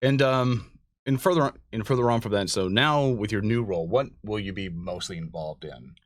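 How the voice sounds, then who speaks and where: A man hosting a casual interview, chatting in an excitable, rambling way. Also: fairly low pitch, moderate pace, dry recording, clean studio sound. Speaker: interviewer, show host.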